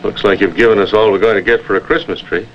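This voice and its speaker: An actor playing a businesslike submarine officer, brisk and matter-of-fact, in a 1950s military drama. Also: male voice, fairly low pitch, steady tone, a little hiss or hum, close to an everyday voice. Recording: dull 1950s TV soundtrack, faint music.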